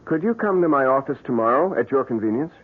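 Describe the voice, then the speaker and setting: A man's voice: medium pitch, expressive, fast, loud music behind the voice, natural voice. A man playing a glib, greedy agency schemer in an old radio drama, slick and persuasive with hesitant hems and haws. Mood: smooth, persuasive. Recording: muffled, hissy old radio broadcast.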